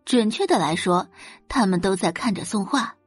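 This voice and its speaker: A woman narrates a serialized audio novel with expressive, lively intonation. Her voice is husky and the recording dry, with faint music underneath. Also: medium pitch, moderate pace, dry recording. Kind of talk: scripted audio-drama narration.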